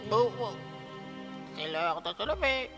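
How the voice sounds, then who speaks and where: A man's voice: high voice, big pitch swings, slow, exaggerated voice. A man playing a timid enchanted frog prince in a French cartoon, anxious, hesitant and plaintive. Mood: fretful. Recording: reverberant, music behind.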